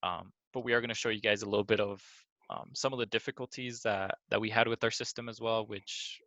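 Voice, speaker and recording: A man's voice: lowish voice, fairly even intonation, a little fast, bright, forceful tone, no room sound. A young man giving an informal student project presentation over a video call.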